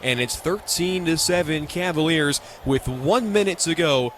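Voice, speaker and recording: High-voiced American man calling football. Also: measured pace, a bit bright, slight background noise. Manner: clipped present-tense phrases. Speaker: radio play-by-play announcer. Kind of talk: live American football radio commentary.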